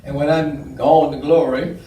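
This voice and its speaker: A man's voice: fairly high pitch, level tone, measured pace, dark tone. An older man giving a public talk and taking audience questions. He rambles as he tells stories, with frequent fillers. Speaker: guest speaker. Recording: echoey room, distant mic, faint noise.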